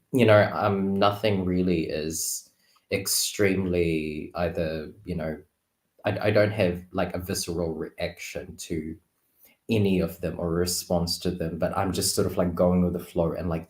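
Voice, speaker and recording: A man's voice: low voice, steady tone, unhurried. A man chatting casually as a guest, talking reflectively in a loose conversational way. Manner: reflective, conversational, many filler phrases. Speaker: guest tarot reader. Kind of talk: casual chat. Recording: roomy, echoing sound.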